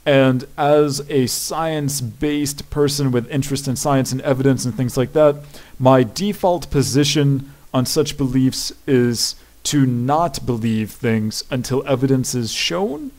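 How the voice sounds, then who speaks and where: A young man with a deep voice answering viewer questions in a casual, rambling chat. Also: unhurried, darkish tone. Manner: chatty and rambling, fillers and restarts.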